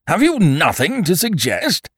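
A man voicing an imperious, bullying king in a storybook tale, booming and drawing out his commands, jovial but quick to rage. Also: big pitch swings, fairly bright tone, dry recording, clean studio sound, exaggerated voice. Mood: impatient fury. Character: fat tyrant king, jovial bully with a furious temper. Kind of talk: audiobook storytelling of a classic tale.

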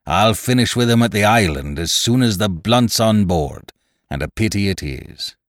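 A man's voice: fairly low pitch, expressive, moderate pace, no room sound, studio quality, close to an everyday voice. A man doing a cunning old pirate cook. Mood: scheming. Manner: gruff, cunning, persuasive. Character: scheming one-legged pirate cook, old sea dog. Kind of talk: audiobook character voice.

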